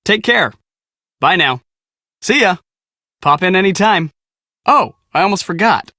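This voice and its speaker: A man's voice: sits high, animated, unhurried, pushed and bright, dry recording, clean studio sound, full character voice. An actor playing an easygoing young male storm-chasing photographer in a video game, breezy and boyish. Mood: cheerful, easygoing. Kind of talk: video game voice acting, casual.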